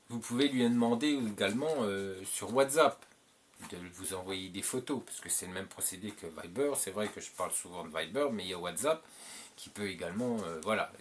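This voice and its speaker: A man's voice: low voice, a little hiss or hum. A French-speaking man hosting his channel, giving advice in a casual, rambling monologue full of fillers and repeats. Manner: rambling, repeats himself, many filler "euh"s.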